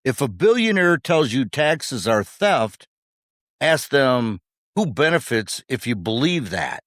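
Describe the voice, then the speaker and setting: Wry male podcaster, very animated delivery. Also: medium pitch, slow pace, no room sound, clean studio sound. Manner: punchy, short rhetorical phrases with wry humour. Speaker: podcast co-host. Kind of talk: scripted conversational podcast commentary.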